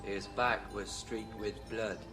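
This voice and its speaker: A dull male labourer tells his story in a low, plodding voice. Music sits beneath him in this radio play. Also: fairly even intonation, slow pace. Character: dull male land worker recounting a sight. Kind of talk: radio drama acting.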